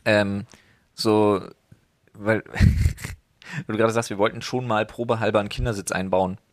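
A man's voice: lowish voice, little pitch movement, a little slow, no echo, clean studio sound. A youngish to middle-aged man chatting casually as a podcast co-host in blunt, colloquial slang. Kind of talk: casual chat between friends. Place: dry, close-miked recording.

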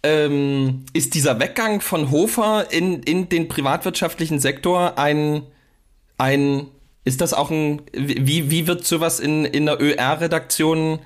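Male podcast co-host, fairly high voice, excitable, stop-start anecdotal chat. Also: a little slow. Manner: excitable, rambling, stammering and repeating words.

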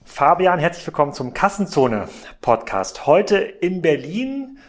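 A man's voice: a little high, big pitch swings, measured pace. A man hosting a podcast, chatty and casual, with many fillers. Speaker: podcast host.

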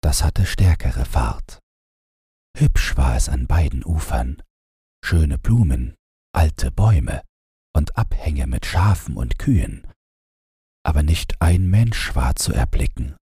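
A man reads a bedtime fairy tale in a very deep voice with a heavily husky grain. His delivery is slow and calm, like an audiobook made for falling asleep, and the tone is mellow. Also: no echo, clean studio sound. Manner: calm, unhurried storytelling. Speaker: audiobook narrator.

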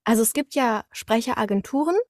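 A woman talking casually as an interview guest, telling things in an animated, conversational way. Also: a little high, fairly quick, dry recording, studio quality. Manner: animated storyteller, acts out short quotes, laughs often.

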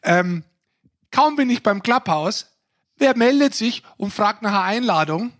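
A man with a fairly high voice hosts a casual sports podcast. He speaks in an animated, conversational way, as if bantering with colleagues. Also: unhurried. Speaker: podcast host.

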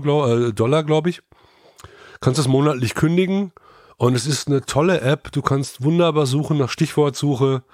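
Male podcast expert, medium pitch, very dry close sound, conversational explanations with halting phrasing. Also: a little mellow, no room sound. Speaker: podcast regular, sound specialist. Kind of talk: casual explaining.

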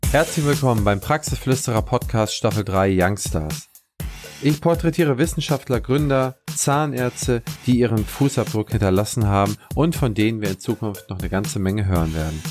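A man's voice: lowish voice, moderate pace, music in the background. A man hosting a podcast interview, talking in an enthusiastic, conversational way with some filler sounds. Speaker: podcast host.

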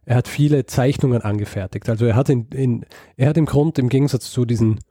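Man, casual storyteller, very dark mellow voice, halting delivery, dry close sound. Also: medium pitch, no room sound. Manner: hesitant, many ums and restarts. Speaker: podcast host telling a story.

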